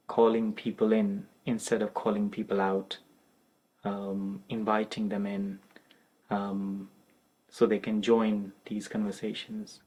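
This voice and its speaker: A man, an artist, speaking reflectively and hesitantly in a documentary interview, with many pauses and restarts. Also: fairly low pitch, a little mellow, rough recording.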